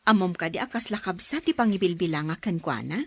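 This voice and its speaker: An actress playing an outspoken, caring sister in an Ilocano radio drama. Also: female voice, lowish voice, lively intonation, fast. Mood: teasing, protesting. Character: outspoken, caring sister who scolds her brother.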